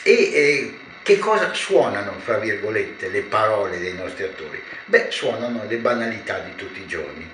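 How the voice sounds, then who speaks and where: An older man of around seventy, a theatre director explaining his work in a measured, erudite way with pauses. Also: lowish voice, lively intonation, fairly bright tone. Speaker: theatre director being interviewed. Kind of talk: reflective explanatory talk to camera. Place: small living room with shelves, indoors. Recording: roomy echo, clear background noise.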